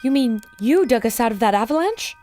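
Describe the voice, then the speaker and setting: A woman playing an earnest, inquisitive woman adventurer in a pulp adventure audio drama, with an expressive, wondering manner. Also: on the high side, brisk, faint music behind, natural voice. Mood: dazed, anxious.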